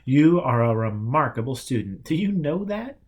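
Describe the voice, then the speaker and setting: Calm male spiritual mentor, read by a male narrator, medium pitch, teasing, giving short clipped instructions, storybook dialogue. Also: moderate pace, breathy edge.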